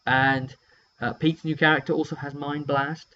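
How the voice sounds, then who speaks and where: A British man casually telling a story in an animated, rambling way, drifting into asides as he goes. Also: fairly high pitch, normal speed. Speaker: tabletop game player recounting a session. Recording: muffled lo-fi audio with hum.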